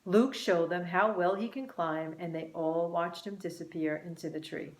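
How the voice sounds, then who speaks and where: Woman storyteller, slightly low voice, deliberate, expressive children's narration, roomy echo. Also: slight background noise. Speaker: storybook reader. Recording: roomy, echoing sound.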